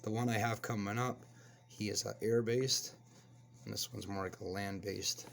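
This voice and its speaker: A man with a hoarse voice from a cold, hosting a casual toy review and chatting as he unboxes. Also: on the low side, moderate pace. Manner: relaxed, chatty, explains as he handles the toy. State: hoarse, getting over a cold. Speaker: toy reviewer, channel host.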